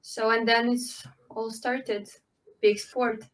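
A woman, answering interview questions in halting, full of fillers. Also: fairly high pitch, level tone, poor audio. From Ukraine. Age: 20s. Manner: halting, with frequent fillers and restarts, non-native phrasing. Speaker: guest, Olympic swimmer.

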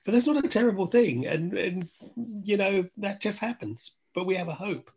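A man's voice: high-pitched, expressive, normal speed, darkish tone, slightly rough. A man talking reflectively as a podcast guest, earnest, repeating phrases for emphasis. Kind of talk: reflective conversation.

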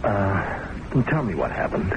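An actor playing a patient, gently probing doctor in an old-time radio drama, measured and soothing. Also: male voice, deep voice, sing-song, dull audio, exaggerated voice. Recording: noisy old radio recording.

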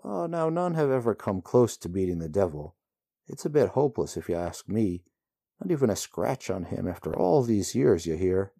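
A man's voice: lowish voice, sing-song, normal speed, vocal fry, dark tone, no room sound, studio quality, pitched above the performer's own voice, exaggerated voice. A man voicing a cheery, folksy woman who rides an emu, chatting casually about grim things. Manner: chatty, folksy, drawling asides. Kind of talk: storybook reading.

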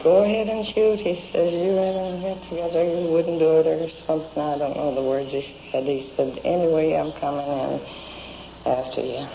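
A woman's voice: low voice, vocal fry, warm tone. A woman giving a plain-spoken, rambling account as a witness in a police interview. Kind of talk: recounting events in a police interview. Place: indoors, in a home. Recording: muffled, noisy 1950s field radio recording.

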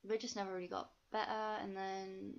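A young woman with a soft Geordie accent mixed with posh English, chatting candidly and informally as a podcast guest, on slightly dull audio. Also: medium pitch, little pitch movement, fairly quick, a crowd in the background. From North East England. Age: young adult. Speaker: podcast guest, ballet dancer. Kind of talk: casual chat.

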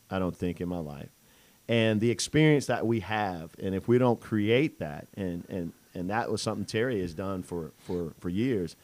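A man's voice: lowish voice, darkish tone, dry recording. A man hosting a business radio talk show, chatting loosely with many fillers and restarts. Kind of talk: casual interview chat.

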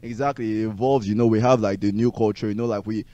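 A man co-hosting a casual debate chat, talking rapidly in slang and pushing back on his friend's points. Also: lowish voice, steady tone, mellow, lo-fi sound. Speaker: podcast co-host.

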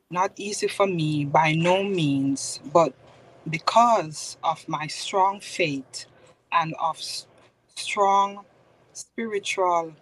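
Slow-spoken Jamaican woman, earnest. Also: lowish voice, slight background noise, low-quality recording. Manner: measured, earnest, faith-centred. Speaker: guest author. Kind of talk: personal testimony on a podcast.

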